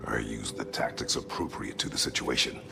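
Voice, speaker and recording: A brooding, aging god of war for a game drama. The voice is extremely low and thick with gravel. He speaks in short, formal, stoic phrases, over prominent music. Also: fairly quick, exaggerated voice. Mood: curt, stoic. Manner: terse, formal, grave speech without contractions. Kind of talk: dramatic video game acting.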